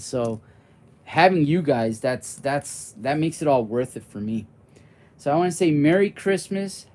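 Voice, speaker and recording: A man in his forties with a young-sounding voice, chatting casually and rambling on tangents during a live board game stream. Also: fairly high pitch. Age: 40s. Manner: warm and friendly toward viewers.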